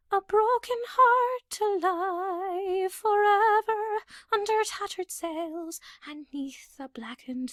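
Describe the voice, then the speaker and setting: Female folk vocalist, very high and slow, sung ballad over music. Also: fairly bright tone, dry recording, studio quality. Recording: music behind the voice.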